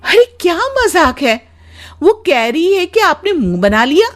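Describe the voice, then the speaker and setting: A woman's voice: very high voice, sing-song, measured pace, pitched above the performer's own voice, exaggerated voice. A woman voicing a demanding wife in a cartoon story, wheedling and insistent. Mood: nagging. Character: demanding, coaxing young wife, shrill and nagging.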